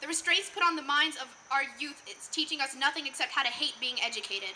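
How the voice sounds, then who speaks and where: Teen female slam poet, bright forceful voice, earnest rhetorical delivery, live recording with faint noise. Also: high voice, low-quality recording. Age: teens. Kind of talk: slam poetry performance. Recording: noticeable background noise, live event.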